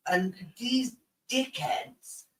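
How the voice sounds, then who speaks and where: A woman's voice: fairly high pitch, extremely slow. A blunt, outspoken woman chatting on a casual podcast. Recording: roomy, distant mic.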